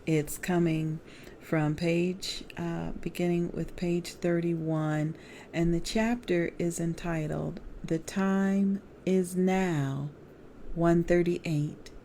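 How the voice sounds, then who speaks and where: A middle-aged American woman reading her memoir aloud as author and evangelist, with deliberate, expressive storytelling. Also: lowish voice, slight background noise.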